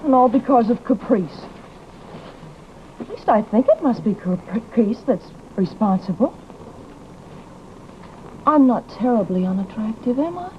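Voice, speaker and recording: A woman's voice: on the high side, animated, a little slow, pauses often. An actress playing a prim, aggrieved young woman in an old radio drama, with a plaintive, tart and formal delivery. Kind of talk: old-time radio horror-comedy acting. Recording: muffled, noisy old radio recording.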